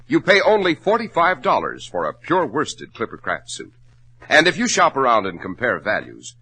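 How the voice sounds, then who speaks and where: A man's voice: medium pitch, sharp tone, slightly rough, poor audio. An American man announcing in a polished, salesmanlike 1940s radio style as host and sponsor spokesman.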